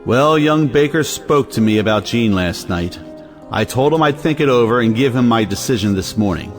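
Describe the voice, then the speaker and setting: An actor playing a solemn, self-righteous head of a household in an audio play, speaking in a pompous, measured way. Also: male voice, medium pitch, lively intonation, soft background music, close to an everyday voice. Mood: pompous, commanding. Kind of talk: audio play acting of a 1910s stage comedy.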